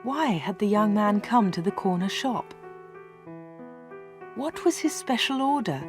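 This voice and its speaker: Woman reading a learners' story, clear. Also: medium pitch, animated, music in the background. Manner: clear and measured. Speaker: audiobook narrator. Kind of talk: slow read-along storytelling for learners.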